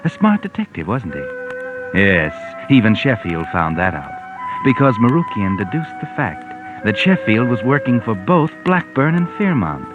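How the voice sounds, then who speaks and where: A man's voice: lowish voice, sing-song, moderate pace, narrow, tinny audio. A man acting as the sly, sardonic, all-knowing narrator of a 1940s American radio mystery, with an American accent. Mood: knowing, mocking. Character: sly, omniscient sardonic narrator of crime tales. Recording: thin band-limited 1940s radio, organ-era music behind.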